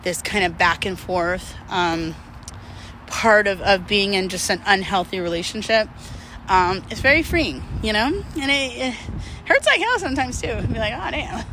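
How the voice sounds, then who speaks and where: A woman's voice: mid-range voice, lively intonation, normal speed, sharp tone, a little hiss or hum, low-quality recording. A woman chatting casually and candidly to her viewers, rambling, self-deprecating and swearing freely in a confessional vlog style. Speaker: vlogger. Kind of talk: casual confessional chat to camera.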